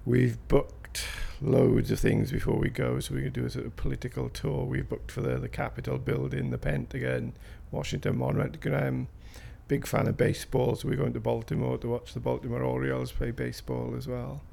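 Gravelly fifty-year-old Welsh man. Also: mid-range voice, level tone, a little fast, hardly any pauses, mellow. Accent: South Wales Valleys. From South Wales. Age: 50s. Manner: hesitant, rambling with fillers and restarts. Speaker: interviewee. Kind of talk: recorded interview.

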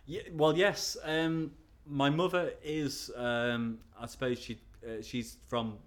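A man's voice: mid-range voice, level tone, slow. A middle-aged man from North Wales with a Scouse accent, talking casually in an interview and rambling with lots of fillers and restarts.

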